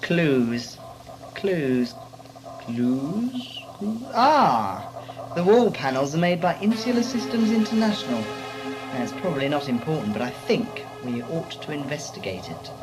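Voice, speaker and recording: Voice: mid-range voice, sing-song, measured pace, poor audio. Keen young male companion, acted out: impudent, chatty, lots of lift and drop, sci-fi audio drama with music. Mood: eager. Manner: cheeky, eager, chatty. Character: cheeky, eager young sidekick lad Greg. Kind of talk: radio drama acting. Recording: music behind the voice.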